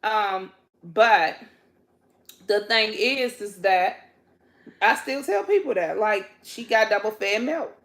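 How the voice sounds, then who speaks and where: A woman's voice: medium pitch, animated, slow, pauses often, a bit bright. A woman with a Washington, D.C. accent, telling playful stories in a casual couch chat and often laughing. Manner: playful, laughing storyteller, loose casual grammar. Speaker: vlog co-host, a twin.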